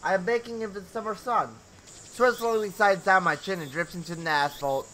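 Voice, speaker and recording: A man's voice: sits high, moderate pace, pushed and bright, slight background noise. A young man hosting a gaming video, reading story narration theatrically with casual asides and the odd stumble over words. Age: young adult. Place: small home bedroom. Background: game music.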